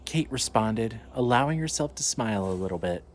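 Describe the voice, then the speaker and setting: A man's voice: fairly low pitch, lively intonation, brisk, a little hiss or hum. A man reading scripted narration as the narrator of a fiction podcast.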